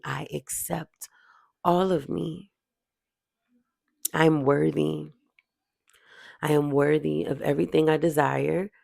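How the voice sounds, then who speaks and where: Slow, very creaky guided meditation voice. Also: mid-range voice, darkish tone, no echo, studio quality. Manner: soothing, deliberate, with drawn-out pauses and repetition. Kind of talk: guided meditation with repeated affirmations.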